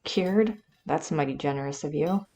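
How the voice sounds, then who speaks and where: A woman's voice: low voice, moderate pace, dark tone. An actress playing a stubborn, blunt middle-aged wife and mother in an audio drama. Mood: prickly, firm.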